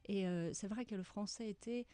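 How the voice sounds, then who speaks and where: A middle-aged woman with a Czech accent, an author answering radio interview questions in a reflective way, often pausing to search for words. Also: medium pitch, mellow, clear, resonant tone, dry recording. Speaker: author guest.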